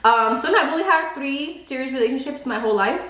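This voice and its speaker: A woman's voice: sits high, expressive, fast talker, a bit bright, echoey room. A woman in her twenties whose first language is Spanish, chatting casually on a vlog and saying "like" a lot. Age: 20s. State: pregnant. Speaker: beauty vlogger. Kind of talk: casual chat while eating.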